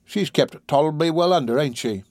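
A man's voice: fairly high pitch, fairly quick, no room sound, studio quality, pitched above the performer's own voice, close to an everyday voice. A man voicing a greedy, cowardly young lout in a storybook reading, self-important and full of questions. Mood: cocky, grasping.

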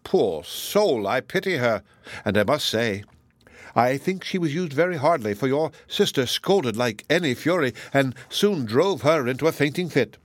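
A man's voice: mid-range voice, lively intonation, moderate pace, dry recording, close to an everyday voice. A man voicing a chatty, good-hearted older matron in an audiobook, gossiping in a rambling, exclamatory and indignant way. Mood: excited, pitying. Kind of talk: audiobook character dialogue.

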